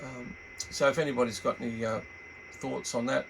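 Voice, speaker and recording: A middle-aged or older Australian man giving a casual, unscripted product walkthrough in a laid-back, halting, rambling way. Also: medium pitch, steady tone, creaky, background noise. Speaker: hobbyist radio reviewer.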